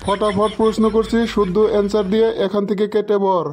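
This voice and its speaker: A man's voice: sits high, little pitch movement, fast talker, a little mellow. Man acting an exasperated, bossy cartoon schoolteacher. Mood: brisk, bossy. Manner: scolding, boastful, impatient. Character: exasperated bossy male schoolteacher quizzing pupils. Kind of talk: comedy cartoon dialogue.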